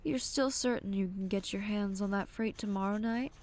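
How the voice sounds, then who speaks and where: A woman playing a genteel, wry speakeasy proprietress in a fan dub, speaking in a measured, coaxing way. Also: medium pitch, darkish tone, lightly acted. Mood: businesslike, coaxing. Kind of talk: fan dub dramatic voice acting.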